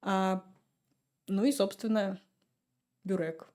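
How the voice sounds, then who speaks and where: Relaxed chatty woman, mellow voice. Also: medium pitch, takes it slowly. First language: Russian. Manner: relaxed, chatty storytelling with laughs. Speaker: podcast guest. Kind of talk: casual chat.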